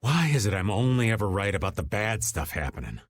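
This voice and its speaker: A man's voice: fairly low pitch, sing-song, fairly quick, no room sound, clean studio sound. A laid-back, teasing older man. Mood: wry. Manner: easygoing, teasing drawl. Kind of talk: video game voice acting. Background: game music.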